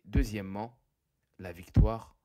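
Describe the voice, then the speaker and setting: A man narrating a scripted educational quiz, reading questions and answers in a deliberate, didactic way. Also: lowish voice, fairly even intonation, dark tone.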